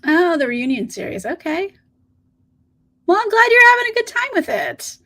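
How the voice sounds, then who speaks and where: A woman's voice: very high voice, big pitch swings, normal speed, pushed and bright. A woman hosting a casual livestream, greeting viewers and answering their comments in an upbeat, chatty way with frequent laughs, her voice a little scratchy today. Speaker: book channel host.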